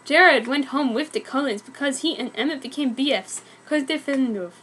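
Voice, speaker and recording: A woman's voice: high voice, moderate pace, a bit bright, breathy edge. A woman giving a dramatic comic reading of fan fiction, amused yet mock-serious. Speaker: reader. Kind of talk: dramatic comic narration of a fanfic.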